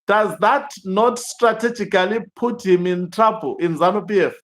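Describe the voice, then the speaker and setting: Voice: mid-range voice, moderate pace. A news host puts long, winding questions to a guest. The host hesitates often, with fillers and restarts along the way.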